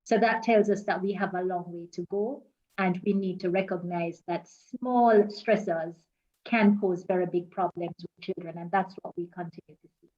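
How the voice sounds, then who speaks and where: A woman with a Jamaican accent, speaking as a panelist in a formal, measured way. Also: fairly low pitch, warm tone, highs cut off. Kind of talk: panel discussion.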